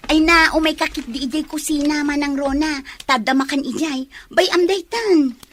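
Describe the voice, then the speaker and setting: An actress playing an evasive, flustered schemer in a radio drama, with an Ilocano accent. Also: female voice, high-pitched, moderate pace, a little hiss or hum, close to an everyday voice. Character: evasive, flustered, scheming woman hiding something.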